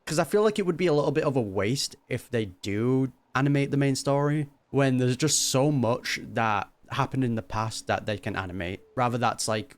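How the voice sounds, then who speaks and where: Chatty gaming streamer, mid-pitched voice. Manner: chatty, musing. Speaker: streamer reacting to a game. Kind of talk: casual gaming commentary.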